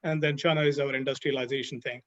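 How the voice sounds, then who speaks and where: A man's voice: medium pitch, steady tone, fast talker, a bit bright, lo-fi sound. A middle-aged man on a webinar panel, talking steadily and explaining at length with many fillers. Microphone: video call.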